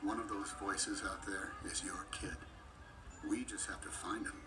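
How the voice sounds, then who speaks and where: A man playing an earnest, kindhearted and loyal toy in an animated film, speaking from the heart. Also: sits low, unhurried. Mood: gentle, earnest. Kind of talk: animated film character acting. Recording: music bed under film dialogue.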